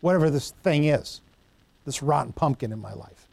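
A middle-aged male pastor with a slightly higher voice delivers a folksy, conversational sermon. He trips over Greek words and drifts into homely stories. The sound is dry and close. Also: expressive, moderate pace, creaky, a little mellow, no room sound.

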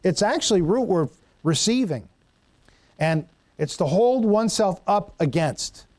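A man's voice: high-pitched, expressive, unhurried, darkish tone, no room sound. A middle-aged man preaching a sermon in a conversational, folksy way, stumbling over Greek words and telling homely stories. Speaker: pastor.

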